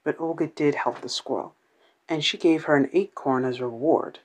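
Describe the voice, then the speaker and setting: A man's voice: on the high side, normal speed, dry recording, lightly acted. A man narrating a children's radio play as a scripted storybook tale.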